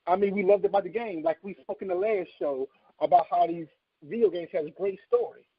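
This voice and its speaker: A man's voice: high voice, a little fast, breathy edge, dry recording. A man in his thirties chatting casually and emphatically as a podcast co-host, giving enthusiastic opinions. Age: 30s. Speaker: co-host of a video game podcast. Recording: slightly dull, dry radio-like sound.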